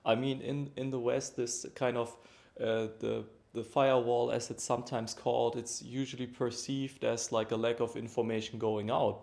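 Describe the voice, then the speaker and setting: A male interviewer with a gravelly, creaky edge to his voice. He asks long, thoughtful questions and hesitates often as he goes. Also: medium pitch, darkish tone. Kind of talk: conversational interview.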